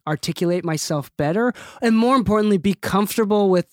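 A man's voice: sits high, quick pace, a little mellow, no room sound, studio quality. A man hosting a podcast whose first language is Japanese, chatting casually and thinking aloud with lots of fillers. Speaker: podcast host. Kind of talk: casual chat.

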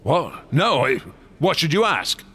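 A man's voice: fairly high pitch, big pitch swings, unhurried, bright, forceful tone, husky, heavily acted. An actor playing a passionate, secretive artist and explorer in a radio drama, telling his tales in a grand theatrical way. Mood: excited, evasive. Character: passionate, secretive male artist and explorer obsessed with ancient gods.

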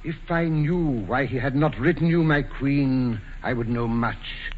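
An actor playing a shrewd, self-possessed courtier in a 1940s radio play, with a measured, smooth-tongued and theatrical delivery. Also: male voice, medium pitch, close to an everyday voice. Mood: calm, firm. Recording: muffled 1940s radio recording with hiss.

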